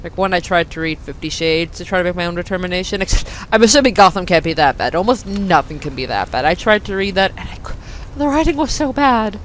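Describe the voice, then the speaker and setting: A woman's voice: lowish voice, animated, quick pace, slight background noise. A woman hosting a let's play with casual, rambling commentary and wry asides. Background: game audio.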